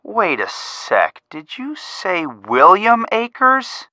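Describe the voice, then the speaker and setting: A man's voice: high-pitched, huge pitch swings, slow pace, bright, forceful tone, no room sound, studio quality, exaggerated voice. A man playing an earnest young amateur sleuth in a game, analytical and inquisitive as he reasons aloud. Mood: intrigued. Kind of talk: scripted game voice acting.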